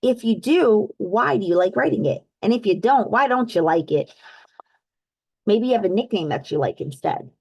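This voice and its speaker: Give me a woman reading a picture book aloud to children in a dry, close recording. Her pitch sits in the middle for a woman. She stretches key words for emphasis and addresses her young listeners like a teacher, with questions and prompts. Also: vocal fry, breathy edge, dry recording. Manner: animated, exaggerated storytelling emphasis with drawn-out words. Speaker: read-aloud host for children.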